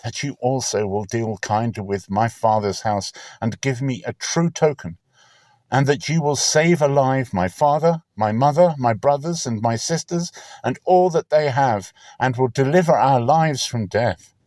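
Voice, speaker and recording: A man's voice: mid-range voice, unhurried, darkish tone, no echo, voice pushed up, close to an everyday voice. A man voicing an earnest woman of ancient times who pleads solemnly and formally in a dramatic scripture reading. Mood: earnest pleading.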